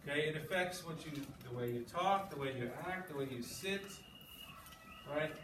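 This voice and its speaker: A man with a slightly high voice teaches a class, thinking aloud and checking understanding as he goes. He sounds a little far from the mic in a very echoey room, with clear background noise. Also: normal speed. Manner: thinking aloud, often checking with "okay" and "right". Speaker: English teacher. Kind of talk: classroom lesson. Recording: distant, echoey, noisy classroom recording.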